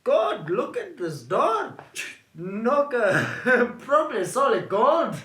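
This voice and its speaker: A greedy young heir who curses and exclaims in a high, bright, swooping voice, as a man puts him on. He drags his words out slowly and theatrically for an amateur radio play. The recording is roomy, with faint noise behind. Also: wildly swooping pitch, exaggerated voice. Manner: loud, slow and theatrical exclaiming. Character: greedy grumbling young male heir, cursing. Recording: echoey room with noise.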